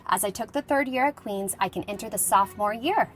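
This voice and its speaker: A woman playing a lively young schoolteacher reading out her letter in a conversational, wryly humorous way, in an acted audiobook. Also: medium pitch, brisk, lightly acted. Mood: wry griping. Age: young adult. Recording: music playing behind the voice.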